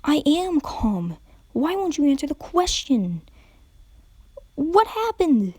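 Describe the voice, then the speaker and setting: A woman's voice: sits high, very animated, slow, darkish tone, dry recording, clean studio sound, pitched above the performer's own voice, heavily acted. A woman voicing a dazed young mare waking after an accident, confused and strained. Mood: insistent.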